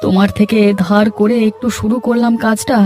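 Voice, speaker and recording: Guy doing an upset cartoon villager, high voice, melodramatic and emphatic, music behind. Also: little pitch movement, normal speed, darkish tone, natural voice. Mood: lamenting. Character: distressed, indignant villagers of a moral tale.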